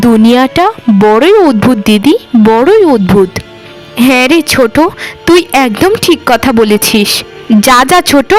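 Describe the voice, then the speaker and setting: A woman acts a dutiful, long-suffering housewife in a village family cartoon. The voice is high, earnest and plaintive. Music plays softly behind it. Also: low-quality recording. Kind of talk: Bengali family cartoon dialogue.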